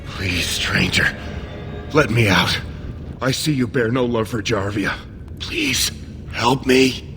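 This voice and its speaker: An actor playing a weak, long-held prisoner in a fantasy video game, speaking in a halting, beseeching way. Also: male voice, medium pitch, very animated, heavily acted. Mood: pleading. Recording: game audio under loud music.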